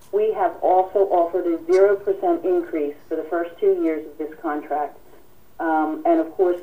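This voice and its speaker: A woman with a mellow, fairly low voice leads a telephone town hall. She is polite and formal, pausing often as she answers callers. She sounds some way from the mic on a conference line. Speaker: union president hosting a call-in. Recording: distant radio-like telephone conference audio. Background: telephone conference call.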